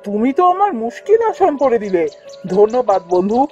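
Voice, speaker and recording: A man's voice: extremely high pitch, big pitch swings, brisk, darkish tone, highs cut off, soft background music. A man voicing a kind, earnest young prince in a fairy-tale cartoon. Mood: friendly, grateful.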